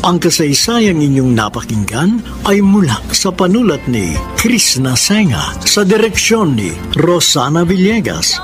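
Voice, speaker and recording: A man's voice: a little high, very animated, unhurried. A man with a Filipino accent doing formal, scripted announcing as the host of a radio drama. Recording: music behind the voice.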